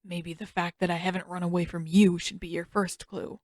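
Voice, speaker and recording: A woman's voice: on the low side, flat delivery, moderate pace, darkish tone, no echo, clean studio sound, natural voice. A woman voicing a tired, sullen teenage prince in an audiobook story, terse, defensive and sarcastic. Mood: weary, sullen.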